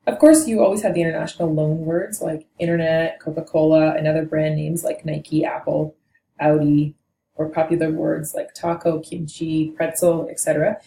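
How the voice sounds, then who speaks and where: Woman, low gravelly voice, dark tone, measured lecture delivery, echoey room. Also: flat delivery. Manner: measured and explanatory, with occasional self-corrections. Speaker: language teacher. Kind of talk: explanatory lecture on linguistics. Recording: echoey room with faint noise.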